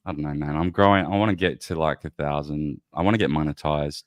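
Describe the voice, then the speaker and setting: Very low-voiced male host, relaxed, conversational, dry close recording. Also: fast talker, no room sound. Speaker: podcast host. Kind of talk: casual conversation.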